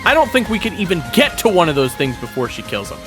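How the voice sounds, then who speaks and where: A brash young male adventurer, as a man puts him on, tossing out curses and wisecracks in an audio roleplay. His voice sits somewhat high. Game music plays clearly beneath him. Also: big pitch swings, quick pace. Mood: wry. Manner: wisecracking, swears freely. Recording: loud game music underneath.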